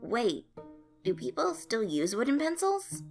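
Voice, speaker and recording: An earnest little boy sidekick, played by a woman, pitched high and youthful. He is timid and easily excited, eager to please his villain boss, in a story reading with music behind. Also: a little slow. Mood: puzzled.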